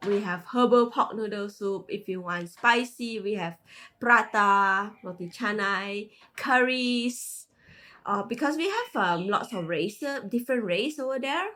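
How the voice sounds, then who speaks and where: A woman's voice: medium pitch, a bit bright. A woman in her thirties from Malaysia with a Malaysian English accent, a podcast guest chatting casually and laughing often between phrases. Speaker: guest, tech manager. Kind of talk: casual interview chat.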